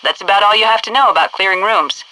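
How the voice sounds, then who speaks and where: An actress voicing a stern, brisk military headquarters instructor in a video game, giving clipped, commanding tutorial orders over a noisy radio-like transmission. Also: female voice, medium pitch, pushed and bright, no echo, natural voice. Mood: brisk, instructive.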